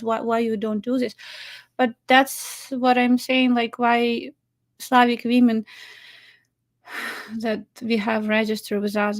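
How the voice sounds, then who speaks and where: Ukrainian woman matchmaker just chatting away, lots of "you know" and little laughs, bit high voice. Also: gravelly. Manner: chatty, laughs often, many fillers and restarts. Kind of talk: casual chat.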